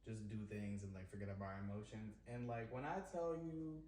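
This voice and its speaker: A man hosting a podcast, talking in an expressive, playful way. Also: lowish voice. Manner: expressive, playful, quick to laugh. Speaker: podcast host. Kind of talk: casual conversation. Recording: echoey room, mic at a distance, faint noise.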